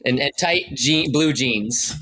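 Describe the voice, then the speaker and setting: A man's voice: mid-range voice, slow, sharp tone. A man chatting casually as a podcast cast member, joking and repeating words for effect. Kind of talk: casual chat.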